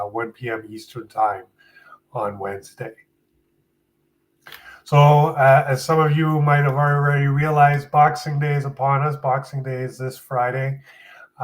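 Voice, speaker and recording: A man's voice: medium pitch, low-quality recording. Sniffly man answering live questions. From Canada. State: sniffly with a seasonal cold. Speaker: employment lawyer hosting a live Q&A. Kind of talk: live Q&A, explanatory legal advice.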